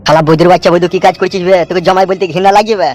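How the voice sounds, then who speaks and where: Man doing a rapid-fire angry cartoon father-in-law. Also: high-pitched, fairly even intonation. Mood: scolding. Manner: berating, crude, threatening. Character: angry, threatening, foul-mouthed father-in-law, older man.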